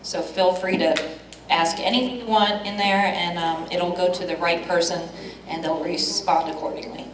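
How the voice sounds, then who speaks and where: A woman running a club business meeting, moving briskly through agenda items. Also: lowish voice, steady tone. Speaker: meeting chair. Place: meeting hall. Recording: echoey hall, voice from far off, background noise.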